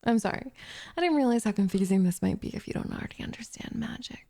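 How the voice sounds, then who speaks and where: A woman playing a possessive, lovestruck witch in an ASMR roleplay, coaxing and condescending in a soft voice that slips into whispers. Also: mid-range voice, animated, quick pace, creaky. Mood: possessive, smug.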